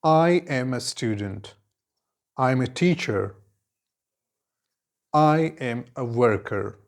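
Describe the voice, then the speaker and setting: A man, teaching an English lesson and giving clear, deliberate model answers, each repeated in several forms. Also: mid-range voice, lively intonation. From Khyber Pakhtunkhwa, Pakistan. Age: 20s.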